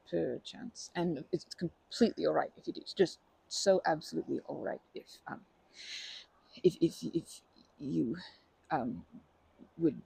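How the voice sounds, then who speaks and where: A timid, kind stranger played by a performer for an ASMR roleplay. The voice is husky and breathy, heavily creaky, and sits at a middling pitch. It stumbles over words and apologizes anxiously, wary of intruding. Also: darkish tone, exaggerated voice, low-quality recording. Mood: hesitant, polite. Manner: halting, stammering, over-apologetic, nervous laughter. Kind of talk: ASMR roleplay, improvised-sounding monologue to listener.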